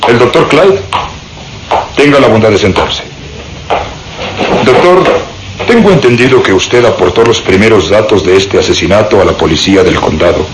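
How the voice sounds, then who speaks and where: An actor playing a stern, methodical police inspector in a radio drama, speaking formally and with authority. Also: male voice, fairly low pitch, lively intonation, moderate pace, long pauses, noisy background, lightly acted. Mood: stern, probing. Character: stern, methodical, officious male Scotland Yard police inspector.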